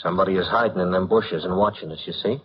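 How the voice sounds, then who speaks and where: Actor for wry New driver sidekick, ungrammatical, muffled noisy vintage radio sound. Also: male voice, deep voice, fast talker, natural voice. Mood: wary. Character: streetwise, talkative cabbie sidekick, comically nervous. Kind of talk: 1940s radio acting.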